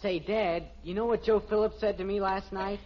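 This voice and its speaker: High-voiced, eager American teenage boy. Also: steady tone, normal speed. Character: eager teenage son, boyishly chatty. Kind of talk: 1950s radio sitcom acting. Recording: dull old radio recording.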